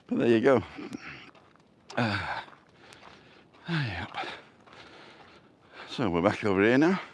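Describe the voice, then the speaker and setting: A man's voice: mid-range voice, very animated, very slow, long pauses, slight background noise. A man with a Yorkshire accent, chatting and joking on a live walk outdoors by the sea. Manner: chatty, joking, reads viewer comments aloud. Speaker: vlog host. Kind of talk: casual live-stream walk chat. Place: outdoors on a seaside walkway.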